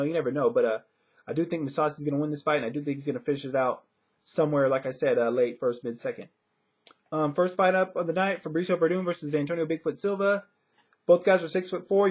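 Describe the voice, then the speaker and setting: A man's voice: on the high side, steady tone, fast. A man giving casual sports predictions in a hesitant, conversational way, as a commentator would. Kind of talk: casual fight prediction talk. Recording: dull lo-fi audio.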